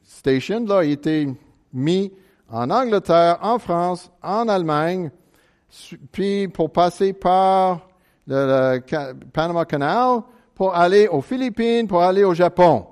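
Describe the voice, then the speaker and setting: A man's voice: high voice, animated, darkish tone, clear, resonant tone. Earnest American man preaching in French. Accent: American English. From United States, Chicago suburbs. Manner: earnest, halting with many restarts, prayerful. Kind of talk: sermon.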